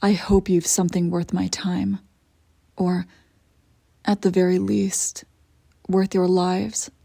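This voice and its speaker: A woman playing an imperious evil empress in an audio roleplay, coolly seductive and menacing, with theatrical, deliberate phrasing. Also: fairly low pitch, level tone, gravelly, warm tone, dry recording, clean studio sound, full character voice. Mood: haughty, cold. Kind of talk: scripted audio roleplay acting.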